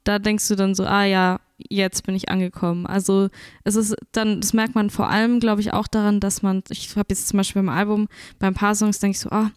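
Young bilingual woman, podcast guest; smooth clear voice, measured and searching, dry studio sound. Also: mid-range voice, flat delivery, no room sound. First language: Italian and German, bilingual. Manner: thoughtful, hesitant, searching for words. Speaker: musician guest. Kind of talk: casual podcast interview.

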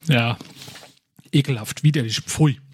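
A man's voice: mid-range voice, a little slow, breathy edge, no room sound. A man co-hosting a podcast, chatting casually and telling jokey stories in a mock-serious way. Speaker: podcast co-host. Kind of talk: casual comedic chat.

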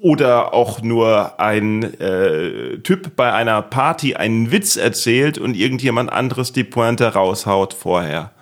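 A man hosts a relaxed comedy podcast from a small studio. He teases his guest with dry irony and stumbles through fillers and restarts as he goes. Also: fairly low pitch, measured pace, few pauses. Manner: teasing, ironic, hesitant with many fillers. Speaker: podcast host. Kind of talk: casual comedic interview chat.

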